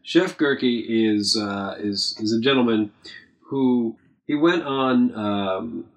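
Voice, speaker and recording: A young man chatting casually, rambling with many ums and uhs and trailing off quietly. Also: lowish voice, slow pace, dull audio. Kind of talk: casual podcast chat.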